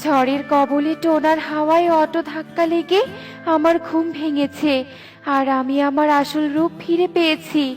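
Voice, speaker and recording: A woman's voice: sits high, fairly even intonation, moderate pace, a little mellow, distant mic, soft background music, close to an everyday voice. A woman voicing a solemn, benevolent magic tree in a cartoon, protective of the poor and stern to the greedy. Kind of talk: cartoon voice acting.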